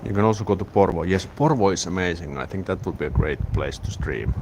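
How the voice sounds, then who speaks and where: Finnish-accented man, deep voice, laid-back stream host, clear street noise, phone on a gimbal. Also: fast. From Finland. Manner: relaxed, rambling, frequent restarts. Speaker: YouTube live streamer. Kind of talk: casual live-stream chat, reading viewer comments. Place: outdoors in a city, near a train station. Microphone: smartphone on a gimbal. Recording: outdoor street noise behind.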